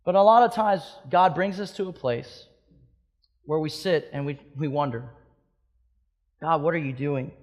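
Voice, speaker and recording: A man's voice: fairly high pitch, unhurried, pauses often. Gepassioneerde man in de dertig, preek. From Hawaii. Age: 30s. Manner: impassioned, swinging between loud emphatic peaks and soft hushed stretches. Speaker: pastor. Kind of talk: sermon.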